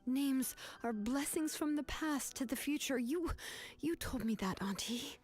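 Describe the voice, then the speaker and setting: A woman voicing a calm, firm young woman who confronts a grieving aunt in earnest, measured speech. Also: high-pitched, faint music behind.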